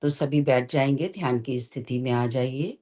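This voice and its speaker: A meditation teacher giving guided instructions gently and deliberately, in short phrases with long pauses. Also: mid-range voice. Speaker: meditation teacher leading a session.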